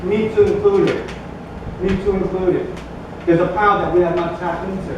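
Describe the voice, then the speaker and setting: A man's voice: high voice, flat delivery, normal speed, lo-fi sound. A male pastor giving a sermon, conversational and joking, building to emphatic bursts. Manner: conversational, jokey preaching with rising emphatic bursts. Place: church sanctuary. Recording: echoey church from a distance with background noise.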